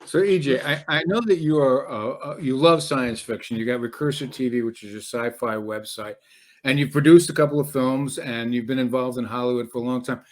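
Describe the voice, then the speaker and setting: Male interview host, medium pitch, conversational podcast delivery. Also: brisk.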